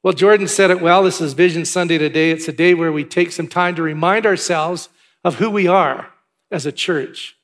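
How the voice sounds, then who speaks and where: A pastor from Canada giving church announcements and a sermon in a chatty way, with asides and filler words. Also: male voice, on the high side, moderate pace, fairly bright tone. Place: large church hall. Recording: long hall reverb.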